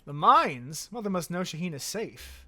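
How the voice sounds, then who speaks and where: Guy reading out a young prince, earnest and direct, bright voice, light game music. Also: sits high, very animated, moderate pace, heavily acted. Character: young royal prince searching for his mother. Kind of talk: reading game dialogue aloud.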